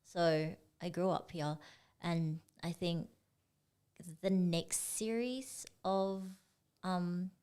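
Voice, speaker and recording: Young woman, low voice, slow relaxed talk, casual interview guest, lots of fillers. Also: smooth. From Singapore. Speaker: guest artist.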